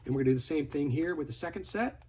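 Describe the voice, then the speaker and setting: A man's voice: fairly high pitch, expressive, racing, a little mellow, a little hiss or hum. A man giving a casual, hands-on product tutorial in a conversational, step-by-step instructive way. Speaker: product demonstrator.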